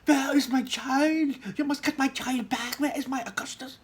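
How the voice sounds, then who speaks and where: A man's voice: extremely high pitch, fairly even intonation, moderate pace, voice pushed up. A man voicing a frantic storybook mother, hollering and wailing for her lost son.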